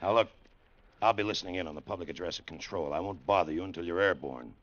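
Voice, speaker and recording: An actor playing an authoritative Air Force colonel in an old-time radio drama, briefing with clipped, emphatic repeats. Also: male voice, sits low, quick pace, a bit bright. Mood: concerned, firm. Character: gruff commanding middle-aged Air Force colonel, test-flight boss. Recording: muffled vintage radio recording.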